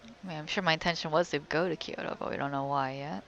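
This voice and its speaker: A woman's voice: sits low, fairly even intonation, fast, a bit bright, dull audio, a little hiss or hum. A young woman giving casual let's play commentary as a game streamer, often murmuring to herself.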